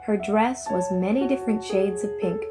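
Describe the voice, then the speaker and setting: A woman's voice: mid-range voice, moderate pace. Woman reading a children's fairy tale over music. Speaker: storyteller.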